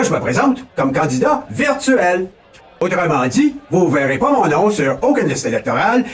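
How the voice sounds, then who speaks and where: A Québécois man delivers a tongue-in-cheek campaign address in a fairly high voice that swoops up and down a lot. He sounds folksy and mock-solemn, and he keeps tossing in a rising "ok, là?" while speaking from some distance off the mic. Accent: Québécois French. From Quebec. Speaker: satirical mock candidate. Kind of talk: comic political address.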